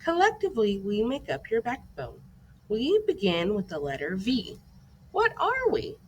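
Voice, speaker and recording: A woman's voice: fairly high pitch, huge pitch swings, a little slow, a little hiss or hum. A woman reading a scripted educational lesson aloud as a teacher, in an instructive way that poses questions to young listeners.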